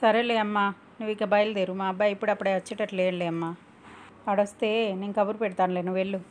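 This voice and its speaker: A woman's voice: mid-range voice, steady tone, fast, a little hiss or hum, natural voice. Woman acting proud, bossy middle-aged mother. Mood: brisk, dismissive. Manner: assertive, dismissive to husband. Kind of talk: cartoon moral story voice acting.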